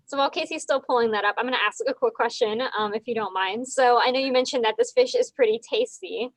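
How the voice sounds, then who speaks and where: Female co-host, slightly high voice, very dry sound, casual questioning. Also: fast talker, no room sound.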